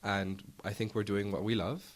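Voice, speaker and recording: A young man answers as a student guest in an interview. His voice is on the low side, and he talks in a casual, conversational way. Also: level tone, moderate pace. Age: young adult.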